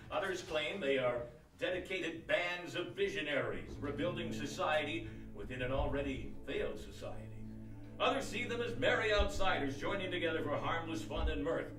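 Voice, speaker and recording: A solemn narrator played by a man with a fairly high voice delivers formal mock-documentary narration. He sounds off mic on an echoey live stage, with music behind him. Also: recorded from a distance, natural voice. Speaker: narrator, announcer.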